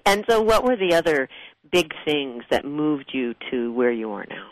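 An interviewer who asks open questions and responds with warm affirmations. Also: medium pitch, sing-song, moderate pace, no echo, rough recording. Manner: affirming and encouraging. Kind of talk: conversational interview.

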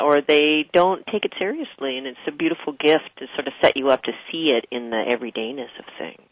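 A woman's voice: low-pitched, no room sound, poor audio. Affirming woman host, dry close sound. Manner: enthusiastic and affirming, quick to laugh. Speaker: interview host. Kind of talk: conversational interview.

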